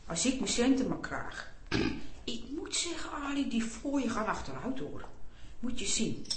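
A woman's voice: a little high, big pitch swings, unhurried. Comic radio drama: weary, blunt female attendant, roomy echo, faint noise. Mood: grumbling. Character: tired, grumbling, indifferent toilet attendant.

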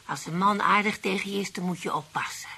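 An actress playing an elderly, cynical widow in a radio play, grumbling in a matter-of-fact, gossipy way with a creaky, raspy voice. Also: female voice, medium pitch, fast talker, sharp tone, a little hiss or hum. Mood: dry, cynical. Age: older. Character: dry, cynical elderly widow who distrusts men.

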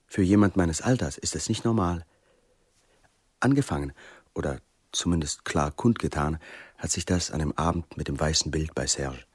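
A man's voice: rumbling low, moderate pace, slightly rough, dry recording, studio quality. An actor playing a sensitive, brooding middle-aged man in a radio play. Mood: confiding, melancholy. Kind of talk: radio play acting and monologue.

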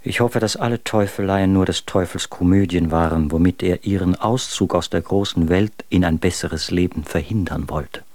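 An actor playing a bitter, world-weary husband, reading his letters in a measured, literary way for a radio drama. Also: low voice, a little mellow, dry recording, lightly acted. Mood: wry, resigned.

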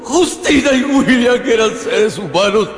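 A man's voice: very high-pitched, lively intonation, a little slow. An actor playing a distraught scientist in a radio drama, intense and accusing toward the creature he created. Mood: grieving fury. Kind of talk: dramatic radio play acting.

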